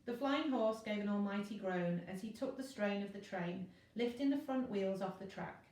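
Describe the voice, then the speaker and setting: A woman's voice: mid-range voice, normal speed, a little mellow. A woman narrating a children's novel expressively, as a teacher reading to a class. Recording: very echoey room, distant mic.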